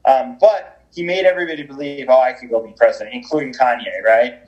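A man's voice: medium pitch, fast talker, dull audio. A man talking as a podcast guest over a call, rambling with many restarts and fillers. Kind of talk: energetic conversational interview. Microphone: remote call.

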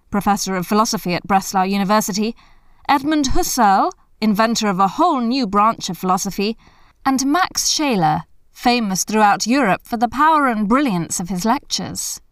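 A woman reading a scripted biographical narration in a measured, formal manner. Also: medium pitch, clear, resonant tone, dry recording, studio quality.

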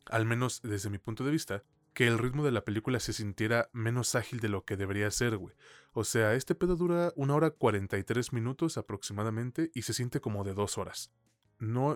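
A Mexican man with a fairly low voice co-hosts a film podcast. He reviews in a casual, conversational way with plenty of informal slang and mild swearing. The sound is dry, with very little room. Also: no echo. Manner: informal, slangy, mildly profane.

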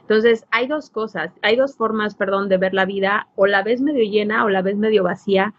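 A woman's voice: mid-range voice, steady tone, moderate pace, dry recording. A woman with a Mexican accent hosting a podcast, reflecting casually and emphatically with rhetorical check-ins, on a dull recording. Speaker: podcast host.

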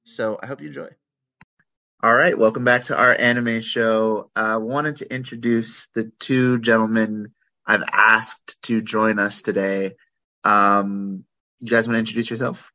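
A man hosting a podcast interview in a conference room, chatty with many ums and pauses. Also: fairly low pitch, steady tone, bright, forceful tone. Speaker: podcast host. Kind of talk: casual interview. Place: conference room with poor acoustics. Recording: lo-fi, dull sound.

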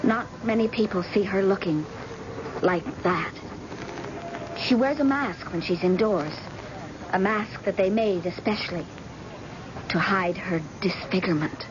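A woman acting a grave, guarded grown daughter in a vintage radio play, with a bitter edge. She speaks deliberately and solemnly in a medium-pitched voice, through a dull, noisy old broadcast recording. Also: close to an everyday voice. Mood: somber.

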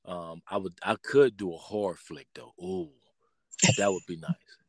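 A man's voice: deep voice, expressive, unhurried, vocal fry, dry recording. A middle-aged American man talking casually and informally as an interview guest, in a heartfelt way. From Pontiac, Michigan, United States. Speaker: podcast guest, performing artist. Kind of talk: casual conversational interview talk.

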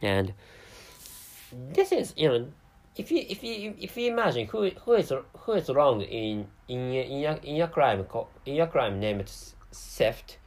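Halting Japanese-accented man, lively pitch. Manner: halting, many restarts and self-corrections. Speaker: podcast host. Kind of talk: solo podcast monologue.

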